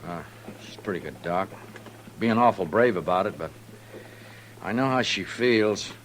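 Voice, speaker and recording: A weary, proud homesteader talks low and terse in a rural American accent. He is brooding and rustic, in old-time radio western style over a slightly noisy, humming recording. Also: animated, unhurried. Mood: wary, bitter. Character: weary, proud male frontier settler, quick to anger.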